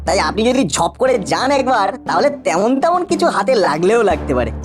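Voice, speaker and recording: A woman voicing a cheeky, quick-witted ten-year-old boy in a comic cartoon, breezy and wisecracking. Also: mid-range voice, big pitch swings, over music, lightly acted. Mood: cheeky, scheming.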